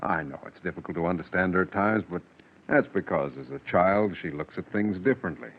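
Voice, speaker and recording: An actor playing a gentle, trusting father and husband in earnest 1940s radio drama style. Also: low voice, fairly quick. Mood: gently reproachful. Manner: soothing, earnest. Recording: muffled, noisy 1940s radio recording.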